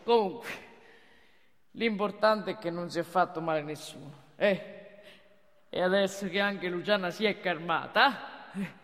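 A woman's voice: fairly low pitch, unhurried, pauses often, lightly acted. Actress as male Roman night guard, sardonic, blustering, rambling, grunted tags, stage comedy, reverberant hall. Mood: nervous, placating. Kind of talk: theatrical comic monologue. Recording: reverberant theatre hall, distant.

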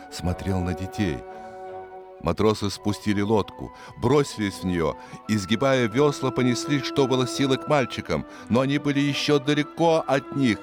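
Deep-voiced man narrating a dramatic story. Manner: expressive, suspenseful storytelling. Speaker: audiobook narrator. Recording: music behind the voice. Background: music.

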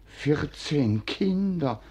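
A man's voice: fairly high pitch, expressive, very slow, warm tone, heavily acted. An actor playing a doting, evasive old nobleman in a 1940s radio play, stammering and coaxing with pet names. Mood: flustered, coaxing. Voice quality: husky, gravelly old voice.

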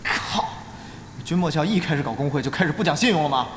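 An actor playing an excitable, exasperated young male guild leader in an online-game audio drama. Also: a little high, fast talker, slight background noise, lightly acted. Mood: indignant, exasperated. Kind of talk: Chinese audio drama acting. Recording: roomy reverb, music bed. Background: background music.

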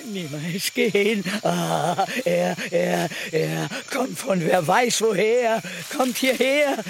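An actor in a radio play voicing a wretched, self-pitying schemer who whines, mutters and repeats himself bitterly. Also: male voice, sits high, slow pace, gravelly, a little hiss or hum, exaggerated voice. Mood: bitter, aggrieved. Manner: whining, muttering, repetitive, laughing bitterly. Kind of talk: German radio play acting.

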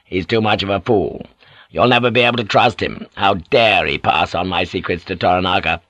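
A male narrator plays an aging samurai warlord from an audiobook, low in pitch and recorded close and dry. He is proud and scheming, issuing orders with imperious, emphatic force. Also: a little fast, a bit bright, no echo. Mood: commanding. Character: fierce, scheming older samurai lord, proud and commanding. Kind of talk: audiobook character acting.

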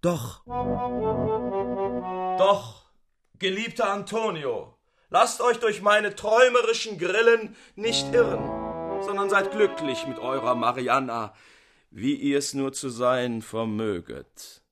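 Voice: mid-range voice, expressive, slow pace, music in the background, pitched above the performer's own voice. A man reading the part of a worldly, sceptical friend in an eloquent, emphatic, confiding manner. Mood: fond, urgent. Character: worldly male painter friend, wry, brooding, sceptical of women.

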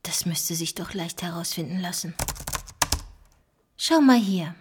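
An actress playing an inquisitive, bookish investigator, musing in a slightly conspiratorial way in a radio play. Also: female voice, lowish voice, expressive, unhurried, heavy background noise. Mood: intrigued.

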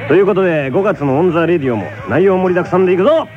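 A man's voice: high voice, rapid-fire. A man from Osaka co-hosting a radio show in casual, joking banter. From Osaka, Japan. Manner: joking, playful. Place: radio studio. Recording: dull radio broadcast with music behind. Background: music.

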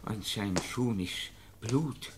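An actor playing a meek but self-important shoemaker in a classic German radio drama, stammering and repeating himself deferentially. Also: male voice, on the low side, a little slow, over music, lightly acted. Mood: nervous, deferential.

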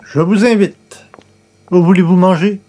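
Man acting a flustered, hapless columnist, dark-toned voice. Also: high voice, fairly even intonation, unhurried. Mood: resolute, nervous. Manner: hesitant, muttering, then blurting out. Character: flustered, hapless male newspaper columnist, grumbling to himself. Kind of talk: comedy radio play acting in old-time radio format.

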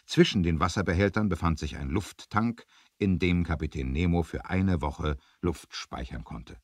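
An actor narrating a sea adventure radio play in a measured, deliberate, dramatic storytelling manner. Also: male voice, deep voice, breathy edge, no echo. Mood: explanatory. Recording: dry 1970s vinyl record transfer.

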